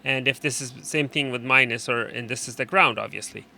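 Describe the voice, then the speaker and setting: A man presenting an unscripted technical how-to, explaining in a halting way and often repeating and rephrasing his instructions. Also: mid-range voice, creaky, pushed and bright, a little hiss or hum. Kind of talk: unscripted hands-on tutorial explanation.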